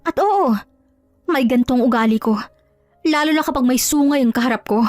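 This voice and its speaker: A woman playing a proud young woman in a love story, courteous but firm and quick to stand up for herself. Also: high voice, a little mellow, no room sound, soft background music, pitched above the performer's own voice, natural voice. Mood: defiant. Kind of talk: dramatized audio love story.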